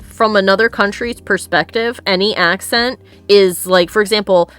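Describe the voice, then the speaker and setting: A woman's voice: medium pitch, moderate pace, fairly bright tone, clean tone, no room sound, soft background music. Giggly chatty woman streamer. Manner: giggly, chatty, often laughing. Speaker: streamer host. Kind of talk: casual live-stream chat, reading chat comments.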